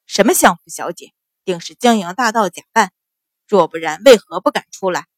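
Woman acting out a loud, blustering bully officer. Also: mid-range voice, animated, moderate pace, dry recording, clean studio sound. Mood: sneering, threatening. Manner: sneering, blustering, shouting. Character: bullying male government officer, arrogant, howling coward when hurt.

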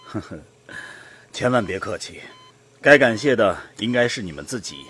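A man playing a modest, kindly doctor with a husky voice in a radio drama. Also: on the low side, animated, normal speed, fairly bright tone, heavily acted. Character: modest, kindly male doctor reassuring grateful parents.

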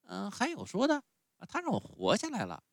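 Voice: medium pitch, wildly swooping pitch, fairly quick, creaky, no room sound, clean studio sound, pitched above the performer's own voice. A storyteller voicing a stubborn, whining wooden puppet boy who is sick in bed. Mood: chastened. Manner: whining, pleading, wailing.

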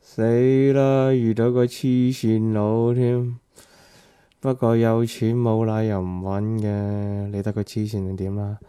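A man's voice: fairly low pitch, flat delivery, slow, warm tone, clear, resonant tone. A man playing a chatty, money-minded wedding photographer in radio drama. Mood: muttering scorn. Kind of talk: Cantonese radio drama acting.

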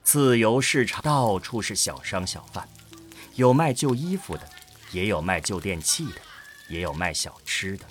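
Male narrator for scripted science fiction audio drama. Animated, expressive storytelling, faint music bed. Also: on the low side, moderate pace.

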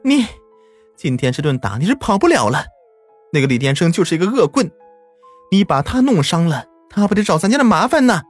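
A man voicing an angry mother who scolds loudly and sharply in a storybook tale. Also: high voice, very animated, quick pace, soft background music, voice pushed up. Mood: scolding. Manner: loud, scolding.

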